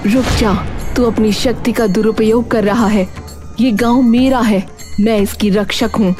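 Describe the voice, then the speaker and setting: A woman's voice: on the high side, steady tone, a little slow, darkish tone, faint music behind, close to an everyday voice. A woman playing a stern, divine guardian mother goddess in a cartoon story, solemn and commanding. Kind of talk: dramatic cartoon story acting.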